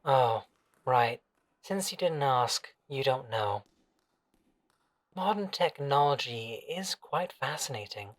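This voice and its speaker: A man's voice: medium pitch, animated, slow pace, long pauses. A man playing an obsessive, possessive servant in a scripted roleplay audio drama, coaxing and deferential yet controlling. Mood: anxious pleading.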